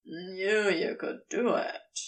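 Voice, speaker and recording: Storybook male serpent, female reader: smug, teasing, breathy, dropped pitch, slightly distant. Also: fairly even intonation, slow pace, close to an everyday voice. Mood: smug, pleased.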